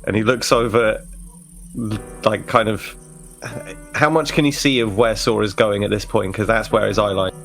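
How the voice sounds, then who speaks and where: Casting: male tabletop player, low gravelly voice, halting casual storytelling, music behind him. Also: fairly even intonation, fairly bright tone. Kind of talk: improvised in-character narration, casual.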